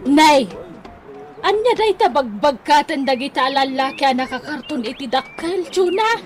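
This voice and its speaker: An actress playing a brash, nosy mother, loudly exclaiming in a radio comedy drama. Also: high voice, lively intonation, normal speed, faint music behind. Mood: indignant. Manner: loud, exclaiming.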